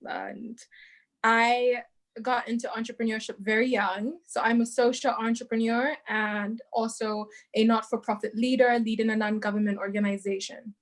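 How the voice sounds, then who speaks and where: A woman's voice: on the high side, steady tone, normal speed. A young woman, answering interview questions with light self-deprecating humor. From Zambia. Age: young adult. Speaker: guest entrepreneur.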